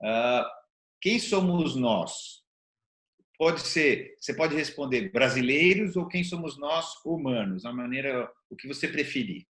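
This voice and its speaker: A man's voice: mid-range voice, lively intonation, unhurried, a bit bright. A man with a Brazilian Portuguese accent hosting a casual interview in a conversational way. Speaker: interview host. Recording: roomy, echoing sound.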